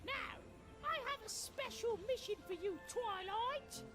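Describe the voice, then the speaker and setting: A self-satisfied pony princess from a parody cartoon, acted by a woman, speaking in a very high, bossy voice. She sounds vain and commanding, with music playing under her lines. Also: a little slow, a bit bright. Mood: smug, commanding. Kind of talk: comedic cartoon parody dub.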